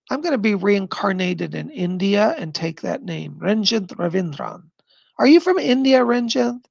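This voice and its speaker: Chatty psychic guy on a live stream, raspy gravelly voice, super dry close sound. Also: high voice, no room sound, dull audio. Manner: effusive, chatty, calls viewers darling; pauses to listen to spirits mid-sentence. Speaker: psychic medium hosting live readings. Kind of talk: live stream chat, reading viewer questions.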